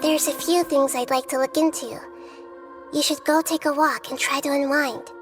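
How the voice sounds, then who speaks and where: A high, soft, youthful voice for a wise child deity in a video game, performed by a woman. She speaks in measured, earnest phrases with a gentle temper. Faint music sits behind her. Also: fairly even intonation, lightly acted. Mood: kindly. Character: small, wise, gentle young girl deity. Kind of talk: anime-style video game voice acting. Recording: music under the voice.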